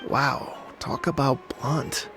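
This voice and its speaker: A man's voice: mid-range voice, steady tone, takes it slowly, over music. A man voicing a chatty, work-shy young academic in a video game, explaining things candidly. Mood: candid, easygoing.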